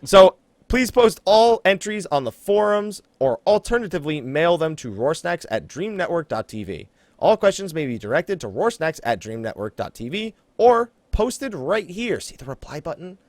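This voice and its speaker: Animated American man hosting a gaming podcast. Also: on the high side, normal speed, no echo. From New Jersey, USA. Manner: jokey, informal, breaks off to laugh and ad-lib. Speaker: podcast host. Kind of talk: casual gaming podcast talk and announcements.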